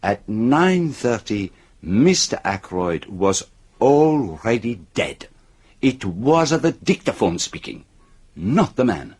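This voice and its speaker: An actor playing a shrewd, methodical detective in a radio drama, speaking deliberately and theatrically as he builds his case point by point. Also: on the low side, big pitch swings, darkish tone, slightly rough, heavily acted. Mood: methodical, probing. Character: shrewd, methodical male detective unmasking a killer.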